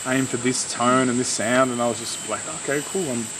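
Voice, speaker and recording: A man's voice: mid-range voice, steady tone, fairly quick, background noise. A man from Australia, a musician talking about a collaboration in a laid-back, slangy way. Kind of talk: casual interview answer.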